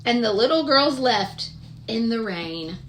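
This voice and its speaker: A woman reading a picture book aloud to children in an expressive, deliberate way, pausing to ask her young listeners questions. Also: on the high side, slight background noise.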